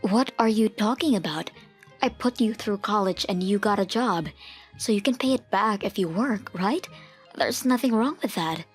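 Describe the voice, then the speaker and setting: A woman playing a firm, principled mother in a manga dub, speaking in a stern, measured, matter-of-fact way. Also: medium pitch, husky, close to an everyday voice. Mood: stern, reproachful. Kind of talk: manga dub dialogue and first-person narration. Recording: music behind the voice.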